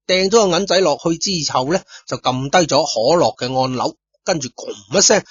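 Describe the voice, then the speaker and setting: A man's voice: fairly high pitch, breathy edge, no room sound. A man narrating a story as a storyteller, chatty with casual asides to listeners. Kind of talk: storytelling narration of a radio drama. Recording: dull lo-fi recording.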